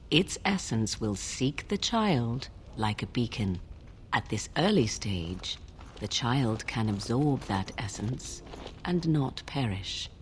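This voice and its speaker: An actress playing a mysterious, guarded young sorceress in a fantasy game, speaking in a cool, formal, archaic manner. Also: female voice, extremely low pitch, darkish tone, a little hiss or hum, heavily acted. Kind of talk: fantasy video game dialogue.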